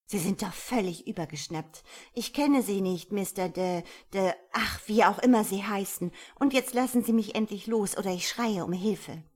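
A woman's voice: medium pitch, normal speed, few pauses, a little mellow, pitched above the performer's own voice, natural voice. A woman voicing a defiant, indignant woman protesting emphatically and with agitation in a romance audiobook. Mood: indignant, agitated. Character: defiant, indignant young woman resisting a captor. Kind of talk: romance audiobook character dialogue.

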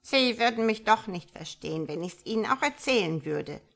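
A woman's voice: mid-range voice, expressive, moderate pace, a bit bright, voice pushed up. A woman voicing a big, gloomy, touchy male frog in a storybook reading, grumbling and dropping in quacks. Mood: glum, complaining. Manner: croaky, grumbling, interjects quacks. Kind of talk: storybook character voice.

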